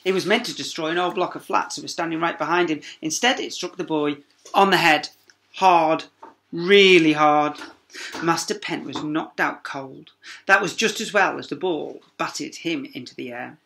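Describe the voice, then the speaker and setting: A man's voice: on the high side, creaky, sharp tone, slight background noise. A man reading a children's story aloud like a primary teacher, dramatic and emphatic. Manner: dramatic, with emphatic pauses and sound words.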